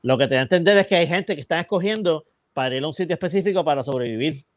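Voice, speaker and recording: A man's voice: fairly high pitch, rapid-fire, no echo. A man with a Puerto Rican accent, a podcast co-host retelling a film's plot in casual, rambling chat full of restarts. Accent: Puerto Rican Spanish. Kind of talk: casual film review chat.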